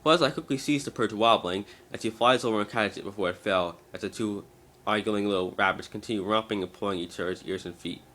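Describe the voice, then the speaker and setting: A man's voice: lowish voice, quick pace, fairly bright tone. A man giving an amateur scripted narration of a story, now and then stumbling over the words.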